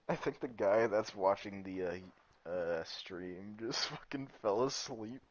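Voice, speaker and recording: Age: adult. Sex: man. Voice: deep, growly, monotone. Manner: rambling, sweary, laughs often. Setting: casual gaming-stream chat. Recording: muffled lo-fi headset audio. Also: slow, dry recording. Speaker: streamer's friend.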